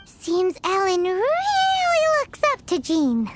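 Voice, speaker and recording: A woman voicing a tiny, perky, childlike fairy companion with a squeaky voice in a video game. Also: wildly swooping pitch, slow pace, noisy background, heavily acted. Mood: cheerful. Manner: perky, cheerleading.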